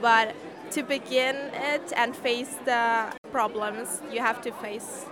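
A woman's voice: fairly high pitch, fairly even intonation, slow pace. A young woman from Hungary, an English speaker, answering interview questions. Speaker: science competition participant being interviewed. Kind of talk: street-style interview answers. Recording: busy crowd chatter behind the voice. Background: crowd chatter.

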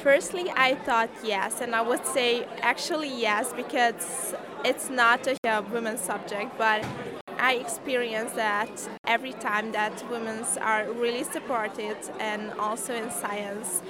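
Higher-voiced young woman amid crowd. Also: steady tone, unhurried. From Hungary. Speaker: science competition participant being interviewed. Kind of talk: street-style interview answers. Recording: busy crowd chatter behind the voice. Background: crowd chatter.

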